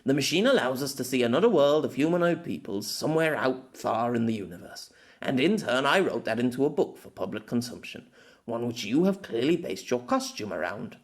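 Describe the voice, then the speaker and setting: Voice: mid-range voice, very animated, fairly quick. A performer voicing an exasperated, sceptical male storyteller with a fussy, formal way of talking in an audiobook. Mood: explaining. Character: exasperated, sceptical storyteller man, feeling old.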